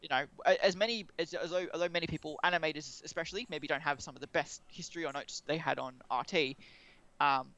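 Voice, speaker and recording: A man's voice: on the high side, fairly even intonation, fast, bright, forceful tone, lo-fi sound. A man co-hosting a podcast in casual discussion, rambling and often rephrasing mid-sentence. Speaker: podcast co-host.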